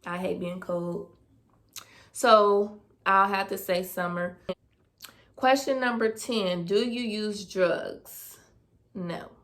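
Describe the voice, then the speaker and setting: A woman's voice: mid-range voice, fairly even intonation, takes it slowly, long pauses, a bit bright. A woman, casually answering personal questions on her vlog, thinking aloud with fillers. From Dayton, Ohio, US. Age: 20s. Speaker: vlogger. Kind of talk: casual Q&A tag video.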